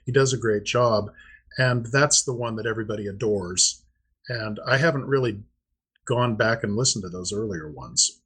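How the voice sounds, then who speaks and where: Husky man in his fifties. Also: fairly low pitch, normal speed, a bit bright. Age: 50s. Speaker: podcast co-host. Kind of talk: casual chat about old-time radio.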